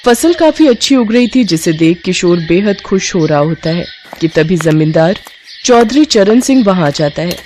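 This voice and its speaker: A woman's voice: on the low side, lively intonation, normal speed, a little mellow, slight background noise. Woman narrating a moral story.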